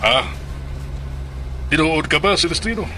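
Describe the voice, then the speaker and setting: A man's voice: fairly high pitch, expressive, a little slow. A man acting in a radio drama as a powerful, corrupt small-town boss, smug and commanding. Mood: interested. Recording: loud music behind the voice.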